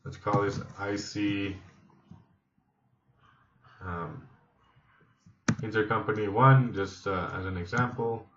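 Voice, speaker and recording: A man with a fairly low voice walks through a software demonstration step by step. His delivery is instructional and a little halting. The recording is echoey and slightly dull. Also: steady tone, noisy background. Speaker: software trainer. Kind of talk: instructional screen-demo narration.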